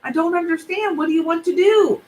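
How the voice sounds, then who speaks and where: A woman voicing a gentle, doting mother comforting her son in a storybook reading. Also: very high voice, brisk, voice pushed up. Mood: hesitant. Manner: soothing, gentle. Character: fussing, affectionate mother.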